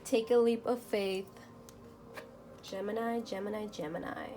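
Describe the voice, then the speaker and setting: A woman whose first language is Spanish, doing a tarot reading at a table and murmuring softly as she shuffles and reads the cards aloud. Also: mid-range voice, fairly even intonation, unhurried, a little hiss or hum. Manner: soft-spoken, murmuring while shuffling, reading card text aloud. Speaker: tarot reader. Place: indoors at a table.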